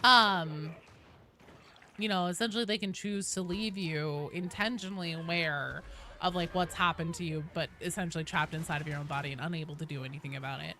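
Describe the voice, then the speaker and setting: A woman's voice: fairly low pitch, a little fast, sharp tone, slight background noise. A woman livestreaming a game, chatting casually and discursively about it, with frequent swearing. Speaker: game streamer. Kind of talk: casual livestream gaming chat.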